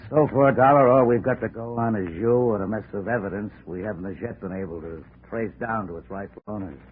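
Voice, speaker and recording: An actor playing a skeptical police lieutenant with a dry, sarcastic manner in a 1940s radio detective drama. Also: male voice, lowish voice, fast talker, lightly acted. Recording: noisy, tinny 1940s radio recording.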